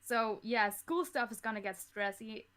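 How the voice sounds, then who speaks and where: A woman who grew up speaking German talks as a podcast guest. Her voice sits a little high, and her delivery is casual and conversational. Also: normal speed, a bit bright. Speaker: podcast guest, audio drama creator. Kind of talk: casual chat.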